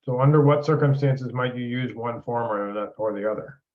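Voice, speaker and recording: A man facilitating a group training discussion in a deliberate, explanatory way, often rephrasing questions. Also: medium pitch. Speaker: meeting facilitator. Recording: dull lo-fi meeting audio.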